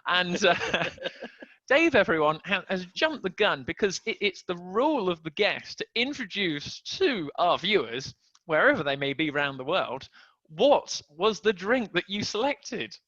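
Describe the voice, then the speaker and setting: A man's voice: sits high, sing-song, unhurried, sharp tone, dry recording, dull audio. A man hosting a casual video podcast, joking and stumbling over restarts as he talks. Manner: chatty, jokey, stumbling restarts. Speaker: podcast host.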